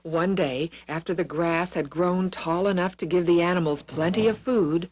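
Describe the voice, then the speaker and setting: A woman narrating children's Bible stories in an expressive, deliberate storybook style with dramatic emphasis on words. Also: on the low side, a little hiss or hum.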